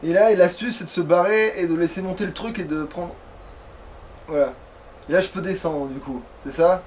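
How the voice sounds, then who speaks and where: A man's voice: high voice, moderate pace, a little mellow, recorded from a distance, a little hiss or hum. A man chatting casually as he plays a video game, swearing and joking. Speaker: let's player. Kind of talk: casual gaming chat.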